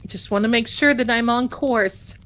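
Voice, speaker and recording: A middle-aged woman casually chatting with her live-stream viewers as a tarot reader, rambling warmly and calling them her darlings. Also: on the high side, normal speed.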